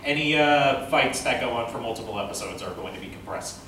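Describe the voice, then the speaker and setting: A man hosting an informal panel Q and A, speaking in a hesitant, halting way. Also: mid-range voice, animated, fairly bright tone. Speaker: panel host, web series creator. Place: convention panel room. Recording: very echoey room, distant mic, noise.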